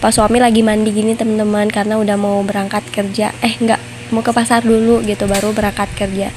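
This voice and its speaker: A woman's voice: medium pitch, level tone, fast, a little mellow, soft background music. A young woman with a nasal voice, congested from flu, chatting casually to viewers in a homemaker's vlog voice-over. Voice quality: nasal, stuffy. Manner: chatty, conversational, addresses viewers as friends. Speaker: homemaker vlogger.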